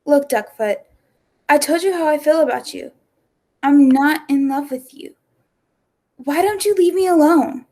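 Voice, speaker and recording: A woman's voice: sits high, a little slow, pauses often, dark tone. A woman playing an indignant circus star in a 1940s-style radio play. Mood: dismissive.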